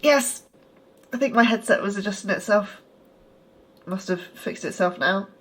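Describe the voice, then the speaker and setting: A woman's voice: mid-range voice, moderate pace, fairly bright tone, close to an everyday voice. A woman playing an anxious, curious scientist in a sci-fi audio drama, wry, hesitant and full of questions. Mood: uneasy. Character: anxious, curious scientist on an unsettling virtual tour.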